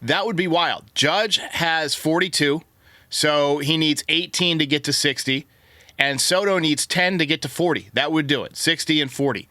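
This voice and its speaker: Bright, forceful man hosting a sports show. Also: on the high side, no room sound, studio quality. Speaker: sports podcast host. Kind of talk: baseball commentary to listeners.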